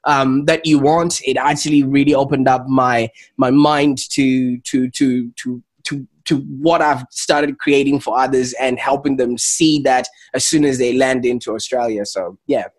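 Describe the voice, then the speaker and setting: A man's voice: medium pitch, steady tone, unhurried, few pauses. A man with a Zimbabwean accent, telling his own story conversationally as an interview guest. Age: adult, 28 or older. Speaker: interview guest, entrepreneur and coach.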